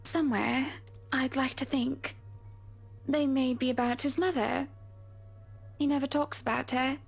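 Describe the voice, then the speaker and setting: A woman's voice: high voice, fairly even intonation, creaky, slightly rough, soft background music, exaggerated voice. A woman voicing a composed, gracious wealthy wife. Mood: polite. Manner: gracious, measured.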